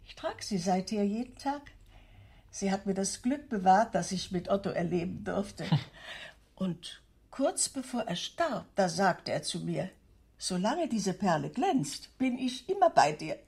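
A woman playing a serene, warm-hearted elderly widowed aunt in a German radio drama, with a husky, creaky voice. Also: medium pitch, very animated, unhurried, exaggerated voice. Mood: fond, serene.

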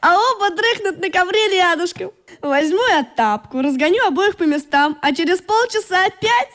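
A woman's voice: very high-pitched, expressive, moderate pace, a bit bright. An actress playing a fond, exasperated, teasing relative of a boy in a radio play. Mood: fond exasperation.

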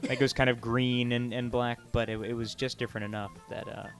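A man in casual conversation, halting and stammering as he interrupts himself, with an excitable manner. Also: mid-range voice, soft background music. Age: 20s. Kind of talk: casual chat.

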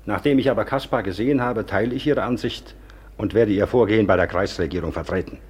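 A man's voice: on the low side, fast, darkish tone, slightly rough. An actor playing a formal, commanding nobleman addressed as Excellency. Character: dignified noble excellency, firm and humane. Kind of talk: radio play acting.

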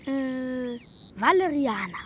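A woman's voice: sits high, expressive, takes it slowly, slight background noise. A woman playing a clever, cheeky young boy in a German radio play, answering with careful precision. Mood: thoughtful, cheeky.

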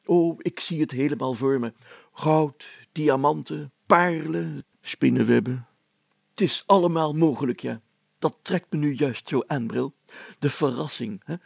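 Storybook mayor performed by a male reader: pompous, excitable adventurer, heavy creak, husky, dry close sound. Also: a little high, measured pace, dry recording. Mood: gleeful, excited. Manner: pompous, exclamatory, chatty. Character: excitable, self-important, adventurous village mayor, a man.

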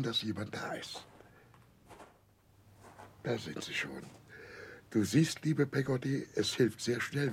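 Noisy vintage radio recording, fond older man, animated, hearty, homely, welcoming. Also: fairly low pitch. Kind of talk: 1960s German radio drama acting.

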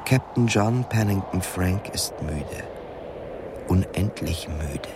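A man's voice: deep voice, slow pace, noisy background. A man reading literary narration in a radio play. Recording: studio radio play with music underneath. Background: clearly audible music.

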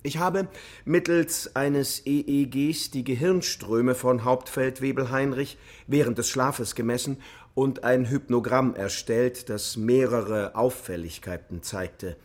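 A military psychologist on the witness stand in a radio drama. His mid-pitched voice stays sober and factual, explaining everything with clinical precision. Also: slow pace. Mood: clinical, precise.

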